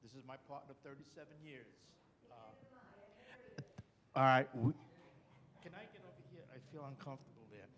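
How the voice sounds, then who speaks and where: An older man with a Brooklyn accent, loud and chatty, introducing himself and his partner at a community meeting. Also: medium pitch, a little fast, long pauses. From New York. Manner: loud, chatty, self-deprecating. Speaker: new neighborhood representative. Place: chapel hall. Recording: reverberant hall.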